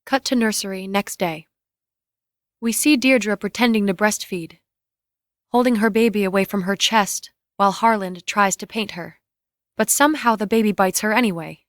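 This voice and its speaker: Lady reading out screenplay style scene directions, plain and clipped, mid pitch, dry close mic. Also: moderate pace, no echo. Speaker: reader of stage directions. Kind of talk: scripted present-tense scene narration.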